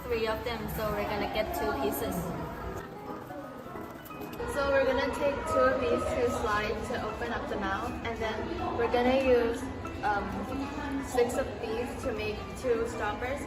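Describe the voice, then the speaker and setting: A woman's voice: on the high side, steady tone, a little slow, a loud crowd. A female student casually explaining craft steps in an unscripted way. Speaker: student demonstrator of a craft tutorial. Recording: echoey, distant microphone, music over it.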